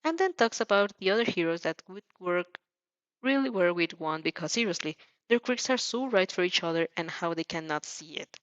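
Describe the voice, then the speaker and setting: A woman reading a story aloud as an expressive amateur narrator, sometimes stumbling and rereading phrases. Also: fairly low pitch, moderate pace, no echo, dull audio. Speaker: fan fiction narrator.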